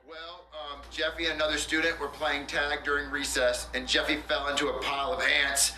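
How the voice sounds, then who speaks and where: A man's voice: a little high, flat delivery, fairly quick, pushed and bright, roomy sound, a little hiss or hum. A man voicing a scheming, brazen young schoolboy in a comedy puppet show, glib and bluffing. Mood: mock solemn.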